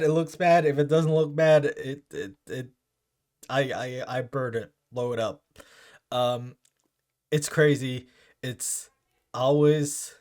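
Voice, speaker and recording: A young man with a deep voice chatting casually while opening gifts. He stammers and restarts his words often. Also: darkish tone. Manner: stammering, repeats words, halting. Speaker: Minecraft content creator.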